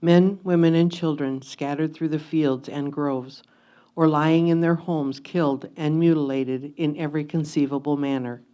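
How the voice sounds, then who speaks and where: A woman giving a solemn, measured dramatic reading of a first-person historical memoir. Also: sits low, steady tone, warm tone, smooth. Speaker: reader of historical letters in reader's theatre.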